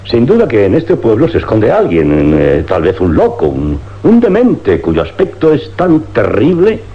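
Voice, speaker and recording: Animated, brisk police inspector. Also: male voice, lowish voice. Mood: grave, puzzled. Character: brisk, determined male big-city police inspector. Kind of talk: dramatic radio play acting. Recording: dull lo-fi old radio recording.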